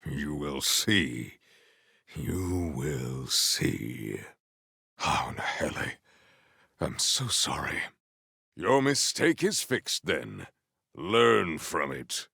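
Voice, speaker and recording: A man voicing a big, formal, earnest warrior in a video game, with stately, measured phrasing and a solemn temper. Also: sing-song, pushed and bright, dry recording, clean studio sound, full character voice. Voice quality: deep, gravelly.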